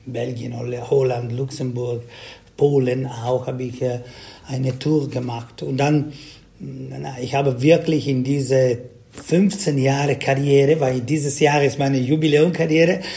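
A man from South Tyrol with an Italian accent, a singer answering interview questions in halting German full of fillers. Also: medium pitch, steady tone, vocal fry, warm tone. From South Tyrol, Italy. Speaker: Schlager singer, interview guest.

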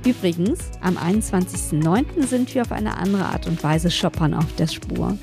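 A woman's voice: on the low side, lively intonation, normal speed, over music. A woman hosting a business podcast, reading a scripted intro and then asking friendly, upbeat interview questions. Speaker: podcast host and PR lead.

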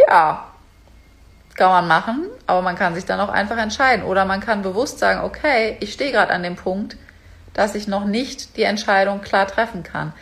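A woman's voice: medium pitch, moderate pace, a bit bright. Female vlogger, casual coaching monologue, speaks straight to the viewer. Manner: chatty, direct, addresses viewer as du. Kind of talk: casual motivational talk to camera.

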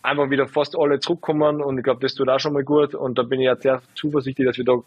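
A man in his twenties, a footballer, answering interview questions in a relaxed, halting way full of fillers. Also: mid-range voice, husky, no echo, highs cut off. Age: 20s. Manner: hesitant, lots of fillers, relaxed. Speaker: footballer guest.

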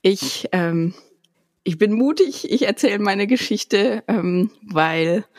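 A woman hosting a podcast interview, friendly and casual, often pausing on fillers. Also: mid-range voice, expressive, darkish tone, dry recording, studio quality. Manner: friendly, hesitant with frequent fillers. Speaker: podcast host.

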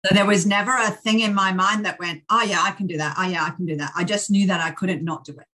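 Bright, blunt Australian woman. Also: medium pitch, fast. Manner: blunt, energetic, plain-spoken. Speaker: charity founder, guest. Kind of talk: candid interview chat.

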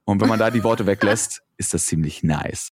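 A man's voice: lowish voice, normal speed, slightly rough, no echo, studio quality. A man with a Berlin accent hosting a podcast in casual chat, rambling and joking, often correcting himself mid-sentence. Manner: rambling, self-correcting, jokey. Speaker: podcast host.

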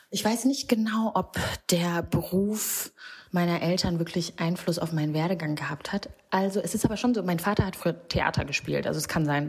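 A woman from Rwanda answering interview questions in a measured way, often pausing with fillers. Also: lowish voice, gravelly, breathy edge. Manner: measured, with frequent hesitation fillers.

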